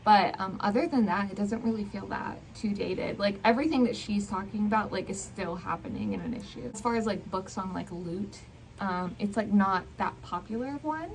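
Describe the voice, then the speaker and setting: Young woman, noisy background, casual book chat, hedging informal delivery, medium pitch. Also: lively intonation, normal speed. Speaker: book vlogger. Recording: clear background noise.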